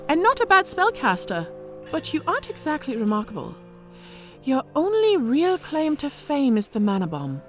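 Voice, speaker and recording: Actress as smug orc lady commander, high lilting voice, sweetly threatening, audio drama with faint music behind. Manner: mock-sweet, teasing, deliberate politeness. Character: smug female orc centurion, teasing and coolly menacing.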